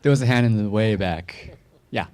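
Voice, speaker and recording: A man's voice: lowish voice, moderate pace, darkish tone, a faint crowd, a little hiss or hum. A man moderating a festival Q&A, calling on audience members briefly.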